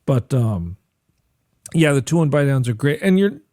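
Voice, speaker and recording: A man's voice: medium pitch, big pitch swings, moderate pace, warm tone, dry recording, studio quality. A young man co-hosting a mortgage podcast, explaining things in an easygoing, joking way. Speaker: podcast co-host, loan officer. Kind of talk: casual chat.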